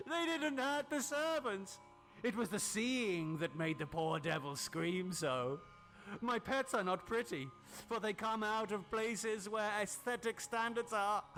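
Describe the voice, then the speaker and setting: A man's voice: very high voice, exaggerated voice, poor audio. A man voicing a mad, gloating scientist full of hatred in a horror audiobook, theatrical and taunting, drawing out his words. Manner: theatrical, taunting, drawn-out words, shouted outbursts. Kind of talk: dramatic horror audiobook character reading.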